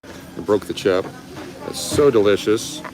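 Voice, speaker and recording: A man's voice: expressive, unhurried. A man in his fifties chatting casually and rambling a little as he hosts a live stream, recorded on a phone indoors. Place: busy restaurant, indoors. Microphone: phone held at arm's length. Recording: restaurant chatter and rumble behind voice.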